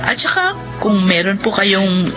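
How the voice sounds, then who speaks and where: Gentle Filipino woman doctor, mid-pitched and reassuring, radio drama, soft music behind. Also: very animated, measured pace. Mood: compassionate. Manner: gentle, explanatory. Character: kind, reassuring middle-aged lady doctor. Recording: slightly dull audio over music.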